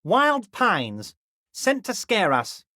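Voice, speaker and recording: A man voicing a scheming middle-aged union boss in a video game, folksy and wheedling, friendly on the surface with a quiet threat underneath. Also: high-pitched, wildly swooping pitch, extremely slow, dry recording, studio quality, full character voice. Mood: wheedling menace. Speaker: game character voice.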